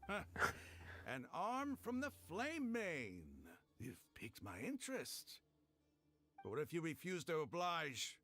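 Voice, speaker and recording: A man's voice: a little high, wildly swooping pitch, slow, pushed and bright, exaggerated voice. An actor playing a proud, hostile male desert mercenary leader in a video game, speaking with scorn and drama. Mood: wary.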